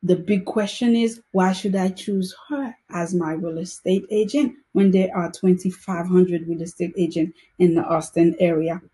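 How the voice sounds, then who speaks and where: A woman, a real estate agent giving an earnest, scripted pitch for her services. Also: steady tone, mellow. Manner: slow, deliberate, earnest delivery. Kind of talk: scripted self-promotional pitch.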